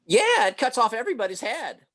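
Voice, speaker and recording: A woman's voice: fairly high pitch, sing-song, brisk, fairly bright tone. A woman playing a blustering, bumbling, easily flustered husband with a stammering, folksy manner in an old-time radio comedy. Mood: folksy, defensive.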